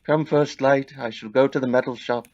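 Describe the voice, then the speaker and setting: A man playing an elderly reformed miser, halting, earnest and formally courteous, in a Victorian audio play. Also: mid-range voice, fairly even intonation, a little mellow, close to an everyday voice. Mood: warm, emotional. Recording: dull lo-fi recording.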